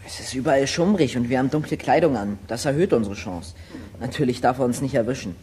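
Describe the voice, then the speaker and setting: A man's voice: medium pitch, lively intonation, quick pace, darkish tone, natural voice. Man playing a curious boy detective, noisy background. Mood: confident. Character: curious, well-spoken, cheeky boy detective. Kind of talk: German audio drama acting.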